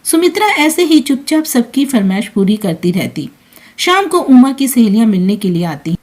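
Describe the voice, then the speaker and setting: A woman's voice: fairly high pitch, sing-song, normal speed, a little mellow. A woman reading a scripted emotional family story as its narrator.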